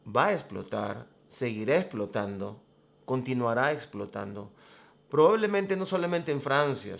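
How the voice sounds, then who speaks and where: A man hosting a programme, giving commentary in a deliberate, emphatic, explanatory way. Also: mid-range voice. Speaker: host of a religious current-affairs programme. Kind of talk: spoken commentary to camera.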